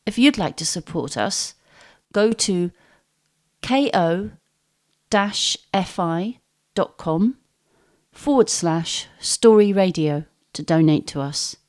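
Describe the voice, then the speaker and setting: A woman's voice: on the low side, animated, takes it slowly, pauses often, a little mellow. A woman presenter reading a scripted announcement for a story programme. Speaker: podcast presenter.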